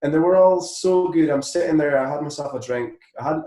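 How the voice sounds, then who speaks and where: A Scottish man, a musician being interviewed, telling anecdotes casually in a rambling, animated way. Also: fairly high pitch, brisk, reverberant, slight background noise. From Scotland.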